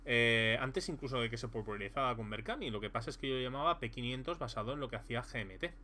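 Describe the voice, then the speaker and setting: A man's voice: medium pitch, animated, fast talker, pushed and bright. Male reviewer, casual and rambling, frequent fillers; light background hum. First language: Spanish. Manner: rambling, many fillers and restarts. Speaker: tabletop game reviewer.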